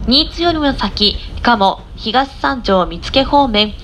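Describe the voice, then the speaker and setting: A woman's voice: fairly high pitch, expressive, slow, fairly bright tone. A woman conductor giving formal, polite announcements on board a train. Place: inside a moving train, over the speakers. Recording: train cabin announcement with vehicle noise. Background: faint train running noise.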